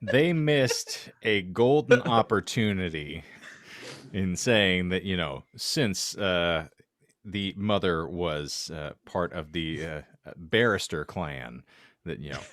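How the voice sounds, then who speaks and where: A man's voice: low-pitched, slow, fairly bright tone, no room sound, clean studio sound. A man hosting a podcast in casual chat, with a wordy, florid, tongue-in-cheek way of talking. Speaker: podcast host.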